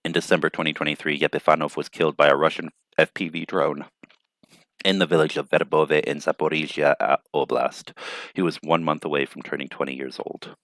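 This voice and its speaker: A man's voice: a bass voice, level tone, brisk, fairly bright tone, no echo. A man hosting a news commentary show, reading reports and adding thoughtful, hesitant asides. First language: not Ukrainian.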